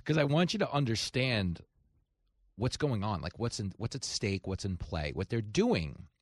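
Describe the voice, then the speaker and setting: A man from New York hosting a talk radio show, delivering an animated, mocking, conversational comedic political monologue. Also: fairly low pitch, normal speed, dry recording, clean studio sound.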